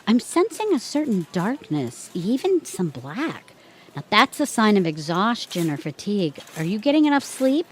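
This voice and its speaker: A woman's voice: medium pitch, big pitch swings, moderate pace, slight background noise, lightly acted. A woman playing a stubborn, flighty, new-age middle-aged mother in an audio comedy, with theatrical emphasis. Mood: airily knowing.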